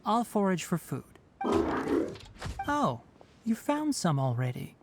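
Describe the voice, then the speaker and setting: Game role, young male forest expert: dry, practical, mildly teasing, animated delivery. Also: high-pitched, slow pace, gravelly, music in the background, heavily acted. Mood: wry, calm. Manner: matter-of-fact, explanatory, mildly teasing. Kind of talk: video game voice acting.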